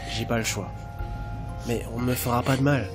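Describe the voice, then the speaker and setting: An actor playing a gentle, reassuring android guardian in an audio drama, soothing and deferential. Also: male voice, mid-range voice, fairly even intonation, brisk, lightly acted. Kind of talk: French audio drama acting. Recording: loud music behind the voice.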